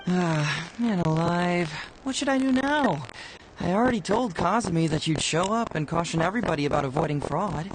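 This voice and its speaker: A man's voice: high-pitched, expressive, normal speed, slight background noise, rough recording. An actor playing a crafty, affable young male official, politely coaxing and playful in a video game. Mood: sly, teasing. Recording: game music under the voice.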